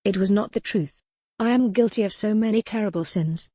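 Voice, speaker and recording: A woman's voice: medium pitch, brisk, mellow, dry recording, clean studio sound, lightly acted. Woman as a determined, remorseful young noblewoman. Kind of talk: dramatic audiobook character reading.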